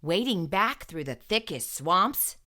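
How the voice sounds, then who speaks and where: A woman reading a storybook aloud to young children, with expressive, emphatic delivery and deliberate phrasing. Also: on the low side.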